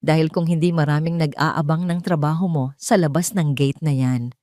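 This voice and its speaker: A Filipino woman voicing a cruel rich stepmother in an audio story, with slow, emphatic, threatening delivery. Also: deep voice, steady tone, dark tone, dry recording, clean studio sound, natural voice. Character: cold cruel rich stepmother, menacing and commanding. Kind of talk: audio story villain dialogue.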